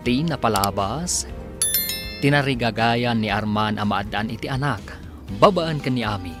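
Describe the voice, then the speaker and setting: A man announcing and recapping a radio drama in a measured, lilting way. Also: on the low side. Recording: music bed behind.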